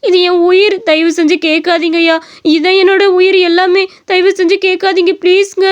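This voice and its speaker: A woman's voice: extremely high pitch, flat delivery, moderate pace, highs cut off, pitched above the performer's own voice. A woman playing a poor, devoted young foster mother in a cartoon story, imploring and emotional. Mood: desperate pleading.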